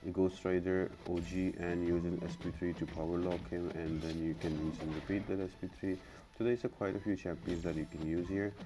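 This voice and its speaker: A man hosting a gaming guide, explaining a walkthrough step by step and addressing viewers directly in an instructional way. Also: deep voice. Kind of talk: explanatory game walkthrough commentary. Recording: clearly noisy background.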